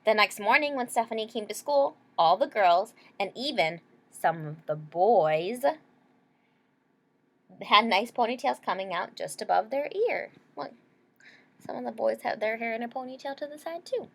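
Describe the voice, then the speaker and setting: Animated woman reading a children's story. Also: on the high side, moderate pace, vocal fry. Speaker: storybook reader. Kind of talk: expressive children's read-aloud with asides.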